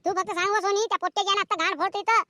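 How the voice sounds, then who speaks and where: A man voicing a furious, foul-mouthed village mother in a cartoon comedy, scolding in a rural Vidarbha Marathi accent with a pitched-up voice. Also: little pitch movement, very fast, very noisy. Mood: angry interrogation. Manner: scolding, hectoring, repetitive questioning.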